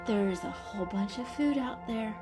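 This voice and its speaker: A woman's voice: a little high, normal speed, music in the background, lightly acted. A woman voicing a patient, protective adult female cat who coaxes in a controlled, motherly way in an animal storybook reading. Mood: coaxing. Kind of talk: children's animal story character voice.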